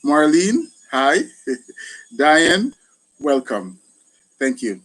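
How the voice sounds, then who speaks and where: A man's voice: on the high side, very animated, very slow, a bit bright, poor audio. A middle-aged man with a Jamaican accent hosting a casual live chat, thanking people often. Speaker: gardening channel host, retired soldier.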